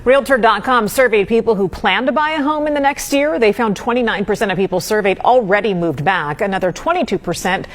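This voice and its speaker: A woman giving a news report in a crisp reporting style. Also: medium pitch, animated, brisk, few pauses.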